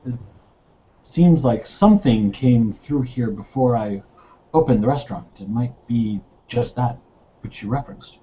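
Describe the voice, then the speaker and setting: A man's voice: medium pitch, unhurried, mellow, close to an everyday voice. A man playing a cautious, reserved restaurant owner attuned to spirits in a roleplaying game. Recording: dull lo-fi audio, background noise.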